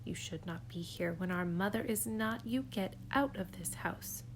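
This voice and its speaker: A woman's voice: medium pitch, moderate pace, background noise, lightly acted. A woman voicing a fussy, worried pet fish who scolds indignantly. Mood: scolding.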